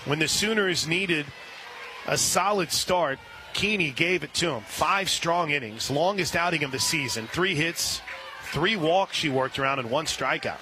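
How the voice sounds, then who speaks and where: A man calling live softball play-by-play as a radio announcer. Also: fairly high pitch, animated, unhurried. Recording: live outdoor ballpark broadcast, clear ambient noise.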